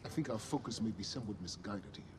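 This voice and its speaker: An actor playing a middle-aged corporate chief in a screen drama, speaking in a measured, formal and coolly insistent way. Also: male voice, lowish voice, noisy background, close to an everyday voice. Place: large industrial warehouse.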